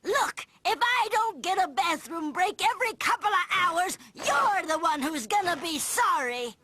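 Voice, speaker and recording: An actor voicing a crazed fake grandma in a cartoon, drawn-out and overwrought. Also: very high voice, animated, pushed and bright, heavily acted. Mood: indignant. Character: crazed fake grandma with huge eyes and teeth. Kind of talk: cartoon voice acting. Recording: music under the voice.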